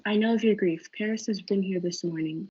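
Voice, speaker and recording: A young woman playing a calm, scheming friar who acts as confidant, in a stage-play reading. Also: mid-range voice, brisk, dull audio, a little hiss or hum, natural voice. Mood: sympathetic.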